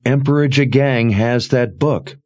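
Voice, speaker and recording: A man's voice: medium pitch, unhurried, darkish tone, highs cut off, pitched above the performer's own voice, close to an everyday voice. A man voicing a frightened, accusing young woman in a fantasy audiobook, raised and strained. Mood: urgent. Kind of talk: audiobook character reading.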